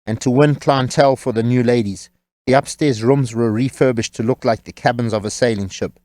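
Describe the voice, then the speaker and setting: A man narrating a scripted audiobook story in a measured, wry storytelling manner. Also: medium pitch, a little mellow, dry recording.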